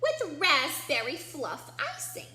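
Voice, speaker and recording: A woman's voice: high voice, wildly swooping pitch, slow pace, pushed and bright, soft background music, heavily acted. A woman voicing a bossy, commanding big sister from a children's storybook, speaking emphatically. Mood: bossy, insistent. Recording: echoey room with faint background hum.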